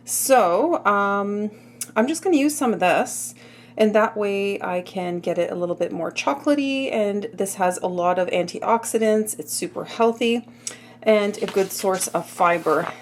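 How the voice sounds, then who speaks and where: A woman filming a casual cooking video in her home kitchen, chatty and full of little asides, her voice a bit hoarse. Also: mid-range voice, measured pace, a little hiss or hum. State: slightly hoarse. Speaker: cooking vlogger.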